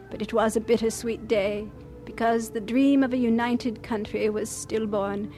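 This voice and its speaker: Radio drama lead: woman playing aged missionary doctor, humble, reflective, slightly high voice, music underneath. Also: a little mellow, natural voice. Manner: measured, earnest, reverent. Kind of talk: radio drama first-person narration.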